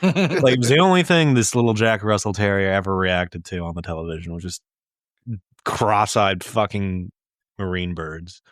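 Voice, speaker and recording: A man's voice: deep voice, moderate pace, a bit bright, no room sound, studio quality. A man co-hosting a comedy podcast, joking and swearing casually as he chats and reads listener letters. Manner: profane, joking. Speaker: podcast co-host.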